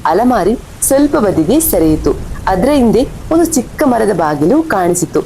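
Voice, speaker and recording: A woman's voice: very animated, a little slow, dark tone, low-quality recording. A woman reading scripted horror story narration as the storyteller. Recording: faint rumble and rain behind voice.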